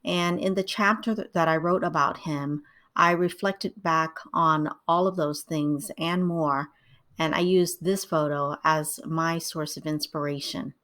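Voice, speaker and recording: Lowish-voiced woman, middle-aged, calm steady writing lesson voiceover. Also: measured pace. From Vietnam, raised in the United States. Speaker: writing tutor. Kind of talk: scripted instructional narration.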